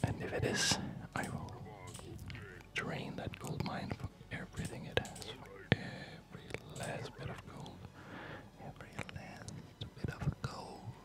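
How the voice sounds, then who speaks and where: Someone whispering breathy ASMR commentary while playing a video game, musing aloud in short, halting phrases. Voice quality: breathy, mostly unvoiced whisper. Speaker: gamer narrating own gameplay.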